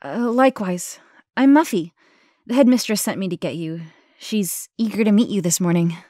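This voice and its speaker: A woman's voice: mid-range voice, moderate pace, mellow, dry recording, clean studio sound, lightly acted. An actress playing an aloof, dutiful preppy schoolgirl in an audio drama, with a detached, slightly hesitant delivery.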